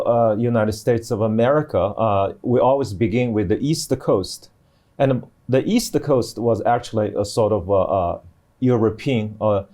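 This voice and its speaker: A middle-aged man explaining things on a panel as a professor, in a halting way with many restarts. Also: mid-range voice, a little mellow. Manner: halting, hesitant delivery with repeated restarts. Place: TV studio.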